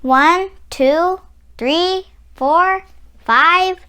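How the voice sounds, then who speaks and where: A woman voicing a timid, anxious little farm girl in a storybook, speaking haltingly. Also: very high voice, bright, forceful tone, a little hiss or hum, pitched above the performer's own voice, heavily acted. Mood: nervous counting.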